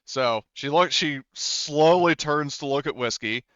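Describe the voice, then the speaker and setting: A man's voice: on the high side, a little slow, a bit bright, clear, resonant tone, no room sound, dull audio. A man working as game master, casually improvising narration for a tabletop roleplaying game.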